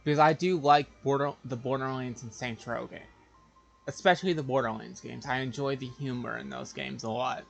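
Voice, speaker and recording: Male streamer, creaky medium-pitched voice, casual rambling chat, halting and repetitive. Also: steady tone, soft background music. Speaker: live streamer.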